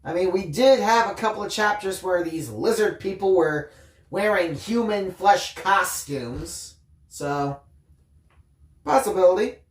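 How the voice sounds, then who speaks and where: A woman's voice: fairly low pitch, expressive, unhurried, reverberant. A woman hosting a story reading, chatting casually. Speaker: story reader and host. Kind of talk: casual chat during a read-aloud.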